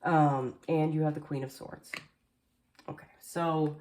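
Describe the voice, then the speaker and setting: A woman giving a casual tarot card reading, chatty and rambling with lots of fillers, a little nasal and hoarse from allergies. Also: low voice, fairly even intonation, a little slow, a little mellow, a little hiss or hum. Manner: chatty, rambling with many fillers, occasional coughs. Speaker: tarot reader. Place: indoors at a table at home. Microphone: camera facing the table, speaker mostly off screen.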